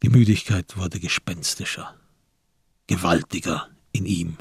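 A man with a husky voice narrating a literary radio crime play in measured, deliberate phrases with dramatic pauses, in speech. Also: deep voice, dry recording, studio quality.

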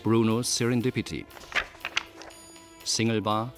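Man as brooding radio crime narrator, low voice, clipped phrases, music clearly behind. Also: steady tone, a little slow, close to an everyday voice. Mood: dark, terse. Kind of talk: clipped scene-setting narration in German radio drama.

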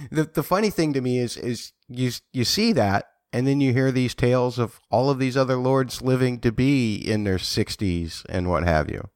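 A man's voice: mid-range voice, moderate pace, darkish tone, no room sound, studio quality. A man in his forties hosting a podcast, joking and stumbling on his words as he chats about a book. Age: 40s. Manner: playful, jokey, repeats words when starting a sentence. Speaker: podcast host. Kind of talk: casual book discussion.

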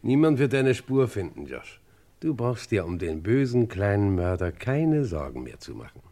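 A man's voice: lowish voice, big pitch swings, moderate pace. An actor playing a callous henchman with casual, sneering menace in a radio crime play. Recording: clear background noise.

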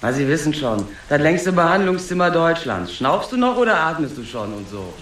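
A blunt, cheeky ticket seller in a radio play, with a fairly high, swooping voice and offhand colloquial delivery. He sounds in a roomy space with rain-like noise around him. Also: very animated, moderate pace, a bit bright. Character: casual, blunt male cave ticket seller. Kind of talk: naturalistic radio drama dialogue.

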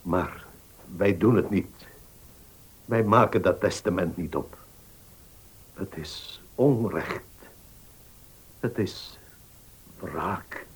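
Radio drama: upright old notary, deep and slow, solemnly indignant, frail in delivery. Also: male voice, breathy edge, heavily acted. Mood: indignant, faint. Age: older. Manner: halting, solemn. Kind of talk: Dutch radio play acting.

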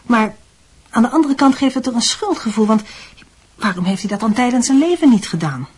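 An actress playing a doting, evasive middle-aged mother in a Dutch radio drama, explaining things gently and sidestepping. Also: a little high, expressive, fairly quick, husky. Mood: evasive, gentle.

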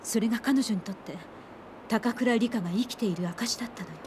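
An actress playing a composed female investigator in a radio drama, measured and solemn. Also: on the high side, noisy background, natural voice. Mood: somber. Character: composed, grave female investigator explaining a tragedy. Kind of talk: Japanese radio drama acting. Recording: long hall reverb.

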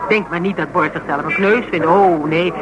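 A woman's voice: on the low side, normal speed, noisy background, natural voice. An actress with a Netherlands Dutch accent playing an outspoken woman who argues her case emphatically, in colloquial and teasing radio drama dialogue. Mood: teasing, persuasive. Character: outspoken woman reasoning stubbornly with a fanatic cop.

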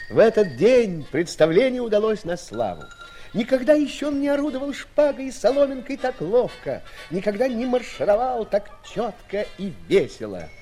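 A male storyteller narrates a fairy tale in a high, soft-toned voice. His intonation rises and falls widely, with expressive scripted delivery. Faint music accompanies him. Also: moderate pace.

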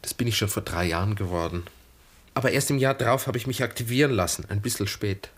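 An actor playing an earnest, wistful lieutenant of twenty-three in a 1960s German radio play, speaking formally and gently probing. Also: male voice, fairly low pitch, fast talker, husky. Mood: polite. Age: young adult.

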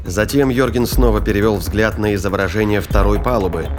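Low-voiced male narrator over prominent music. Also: fairly quick. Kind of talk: scripted fiction narration. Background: dramatic music, battle sound effects.

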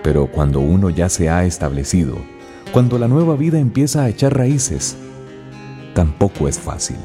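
An actor playing a reflective, wistful narrator of a radio drama, speaking in poetic scripted phrases. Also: male voice, low voice, very animated, moderate pace, warm tone, soft background music.

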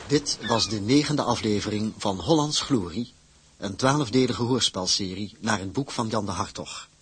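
A man's voice: fairly low pitch, normal speed, husky. A man reading scripted closing credits as the announcer of a radio drama series.

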